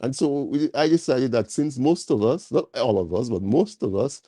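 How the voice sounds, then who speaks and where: A man hosting a live talk program, slightly nasal from a cold, speaking slowly with many fillers as he welcomes his audience. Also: mid-range voice, lively intonation, no room sound. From Caribbean. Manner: slow, warm welcoming address with many fillers. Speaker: talk show host.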